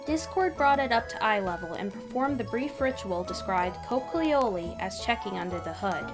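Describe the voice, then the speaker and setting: A woman reading fan fiction aloud as an expressive third-person narrator with dramatic pauses. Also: medium pitch. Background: background music.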